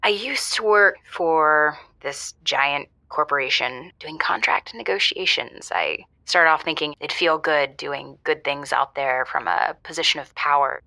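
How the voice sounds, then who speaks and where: A soul-searching caller in an improvised radio comedy. Her low voice has a bright, firm edge and a very dry sound. She is sincere and deliberate, often pausing to restate herself. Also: vocal fry, dry recording, heavily acted. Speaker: returning caller. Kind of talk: improvised audio comedy call-in.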